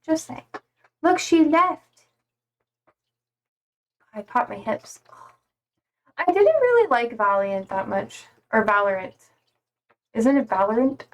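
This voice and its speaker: A woman's voice: a little high, animated, takes it slowly, pauses often. A young woman streaming live, chatting casually and reading out viewer comments in a slangy, rambling way full of "like".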